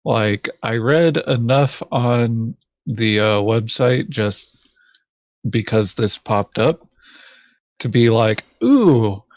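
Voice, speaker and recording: A man's voice: mid-range voice, expressive, slow pace, dry recording. A man chatting casually as a co-host and player in a board game. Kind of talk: casual chat.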